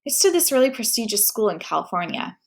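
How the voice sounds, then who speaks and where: A woman reading aloud the lines of a quiet, troubled teenage boy talking with his mother. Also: on the high side, sing-song, quick pace, slightly rough, exaggerated voice. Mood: subdued.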